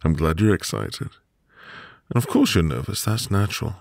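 A man playing a sweet, reassuring newlywed husband in an intimate ASMR roleplay, with a gravelly, breathy voice and a gentle manner. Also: deep voice, sing-song, dry recording, clean studio sound, exaggerated voice. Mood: gentle, admiring. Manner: slow, gentle, soothing. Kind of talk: ASMR boyfriend roleplay, intimate soft delivery.

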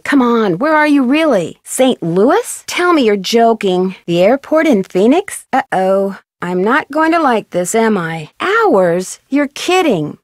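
A woman's voice: medium pitch, no room sound, clean studio sound. A young American woman playing an upbeat, determined amateur detective in a video game, conversational and inquisitive. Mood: dismayed. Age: young adult.

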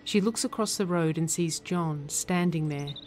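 A woman reading scripted narration and stage directions in a measured, deliberate manner. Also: low voice, a little mellow, slight background noise.

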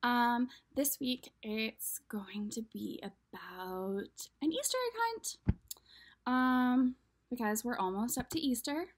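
A woman's voice: a little high, animated, slow pace. A woman in her thirties casually introducing a picture book to children, speaking directly to young viewers in a room at home. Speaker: children's storyteller. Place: small room at home, indoors.

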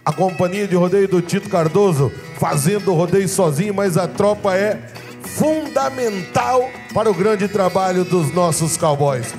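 High-voiced commentator, excitable and praising. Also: male voice, normal speed, soft background music. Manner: enthusiastic, praising, shouting at highlights. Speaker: rodeo commentator. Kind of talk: live rodeo commentary. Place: outdoor rodeo arena.

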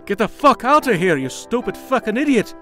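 A man's voice: a little high, lively intonation, moderate pace, natural voice. Man acting a blunt, defensive demon thug. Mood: angry. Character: gruff, defensive male demon thug, famous ex-fighter. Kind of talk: audio comic dub acting. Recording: background music. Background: music.

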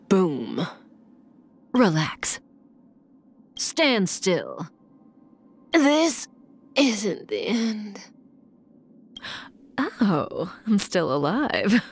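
An actress playing a calm, seductive female assassin in a video game, with a breathy, velvety voice and a languid, teasing delivery. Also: on the low side, full character voice. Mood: cool, menacing. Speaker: game character voice actor. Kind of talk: scripted video game character voice lines. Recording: clean studio voice over game music.

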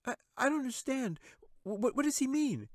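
A man's voice: sits high, very animated, normal speed, no echo, clean studio sound, pitched above the performer's own voice. Man doing a whiny scared little girl. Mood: confused. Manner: stammering, pleading. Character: frightened little human girl, whiny and bewildered.

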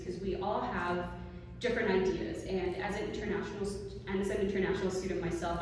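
Woman, 20s, from Colombia, lower pitch, earnest student candidate; distant mic, long hall reverb. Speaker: candidate for secretary-treasurer. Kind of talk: answering questions at a candidate forum. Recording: echoey hall, distant mic, faint noise.

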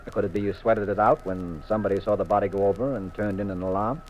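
An actor playing a confident, teasing American husband detective in a 1950s radio mystery, with a wry, assured manner. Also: male voice, fairly low pitch, fast talker, darkish tone, a little hiss or hum, natural voice. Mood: probing, accusing. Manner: wry, assured, leading questions. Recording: muffled vintage radio recording.

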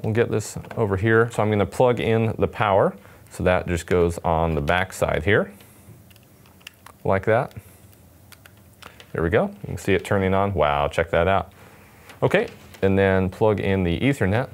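A man's voice: low-pitched, animated, normal speed, pauses often. A man in his twenties giving a casual, upbeat product demonstration to camera in an indoor studio. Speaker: product demonstrator for a radio company. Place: indoor studio set with table. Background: background music.

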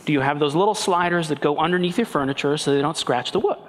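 A man in his thirties, originally from Peru, makes his points with earnest emphasis and frequent restarts on a panel stage. His voice sits a little high for a man and carries a long hall echo with faint background noise. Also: fast talker. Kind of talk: panel discussion. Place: large banquet hall on stage. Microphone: headset mic.

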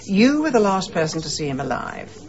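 An actress playing a headstrong, prickly, impatient amateur sleuth in a radio drama, speaking in a brisk, wry, assertive British voice. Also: female voice, on the low side, very animated, darkish tone, highs cut off. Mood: probing.

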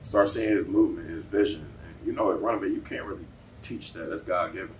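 A man's voice: low voice, fairly quick. A man in his thirties, a coach chatting as a guest in casual slang, often saying bro. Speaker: football coach and trainer, guest. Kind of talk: casual interview chat. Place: indoor gym, podcast set. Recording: echoey, noisy gym, distant mic.

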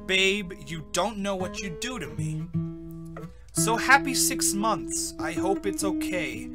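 A man's voice: high voice, animated, takes it slowly, loud music behind the voice. A man voicing an eager, sappy young monster boyfriend in a cartoon. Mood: tender. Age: young adult. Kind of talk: animated cartoon acting.

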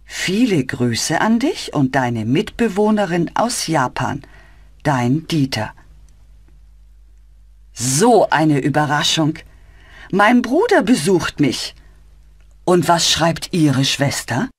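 Friendly flatmate, low voice, lively melody, husky, dry, clear and slow. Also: female voice, no room sound, heavily acted. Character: friendly German woman chatting with her flatmate. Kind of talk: scripted language-course dialogue.

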